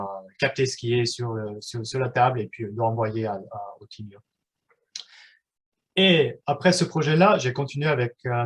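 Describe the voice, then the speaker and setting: A man in his thirties whose first language is German, giving a live online workshop in a halting way, with many fillers and restarts. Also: medium pitch. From German-speaking Switzerland.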